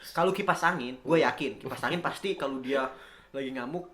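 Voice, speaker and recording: A young man with a Jakarta accent chatting casually in a comedic podcast, telling rambling stories in slang with an animated manner. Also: mid-range voice, a little fast, echoey room. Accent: Jakarta Indonesian.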